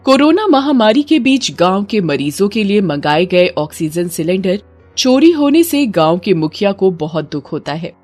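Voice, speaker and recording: A woman's voice: mid-range voice, big pitch swings, normal speed, darkish tone. A woman reading a scripted horror story in a dramatic storytelling manner. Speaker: story narrator. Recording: music bed under the voice. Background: background music.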